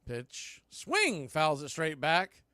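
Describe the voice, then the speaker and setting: A man calling a baseball game play by play, rising to excited calls on big plays. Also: fairly high pitch, slow pace, smooth, no echo, clean studio sound. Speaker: play-by-play announcer.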